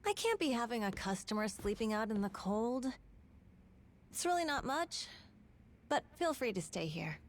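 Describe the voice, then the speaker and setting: A woman's voice: fairly high pitch, very animated, moderate pace, pauses often, a little hiss or hum. An actress playing a kind, plainspoken young woman, firm when needed, in a video game cutscene. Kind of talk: English video game dub acting.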